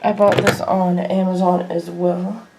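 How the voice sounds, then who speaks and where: A woman giving a casual, unscripted show-and-tell at home in a halting way, as a hobbyist vlogger. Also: on the low side, level tone, vocal fry. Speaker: weather radio hobbyist vlogger. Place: indoor room at home. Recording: noisy homemade video with clicks.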